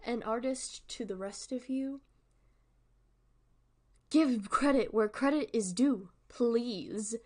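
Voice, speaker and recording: Candid, halting woman livestreamer. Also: high voice. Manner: halting, repetitive, emphatic asides. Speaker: streamer and artist. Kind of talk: candid casual livestream commentary.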